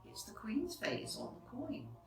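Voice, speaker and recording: A woman narrating a children's storybook expressively, phrasing clearly for young listeners, like a teacher reading aloud. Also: high-pitched, sing-song, a little slow. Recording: roomy echo, distant microphone.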